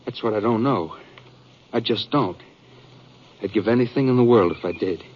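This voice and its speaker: A man acting in a 1950s radio western as a frightened, earnest American cowboy on the run, speaking in a rustic, halting way. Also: on the low side, close to an everyday voice. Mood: grieving, bitter. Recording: dull, muffled 1950s radio recording.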